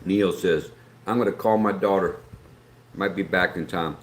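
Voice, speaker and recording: A man's voice: sits low, normal speed. A middle-aged man from West Virginia with an Appalachian accent, chatting casually and rambling as he hosts a live stream and reads out viewer comments. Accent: Appalachian American. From West Virginia, USA. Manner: rambling, folksy, reads chat aloud. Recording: echoey room with faint background noise.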